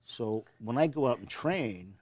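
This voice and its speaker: A man's voice: mid-range voice, lively intonation, a little slow, a loud crowd. A man in his sixties from Montana, a veterans service officer answering interview questions earnestly, often repeating words as he starts a thought.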